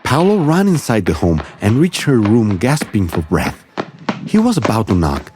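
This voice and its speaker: A man narrating a children's story from a script in an expressive storytelling manner. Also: lowish voice, normal speed, noisy background.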